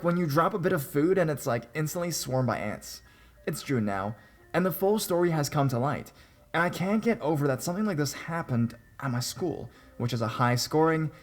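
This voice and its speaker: A man narrating true horror stories sent in by readers, reading them aloud with wry, sarcastic asides. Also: fairly high pitch.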